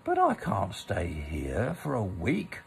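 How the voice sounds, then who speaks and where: Man voicing a slow, gravelly, anxious toy bear. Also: sits low, huge pitch swings, slight background noise, pitched below the performer's own voice, full character voice. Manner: slow, hesitant.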